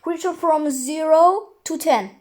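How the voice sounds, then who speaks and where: A woman's voice: high-pitched, unhurried, a little mellow. A young woman hosting a reaction video, chatting casually and blurting short remarks and exclamations. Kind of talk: casual live reaction chat.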